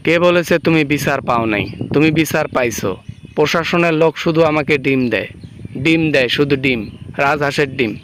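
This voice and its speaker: A man's voice: on the high side, brisk, fairly bright tone, a little hiss or hum, close to an everyday voice. A man playing a frightened, guilty prisoner in an amateur comic phone skit, stammering and pleading with exaggerated complaints. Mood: whining. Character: frightened male prisoner, guilty, whining, woken by dream caller.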